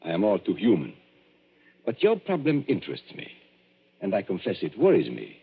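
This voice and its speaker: An actor playing a suave stage magician who speaks in an urbane, theatrical, mocking manner in an old radio drama. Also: male voice, low voice, huge pitch swings, normal speed, creaky, husky, exaggerated voice. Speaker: magician and escape artist. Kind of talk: 1940s radio drama acting. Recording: tinny, lo-fi old radio broadcast.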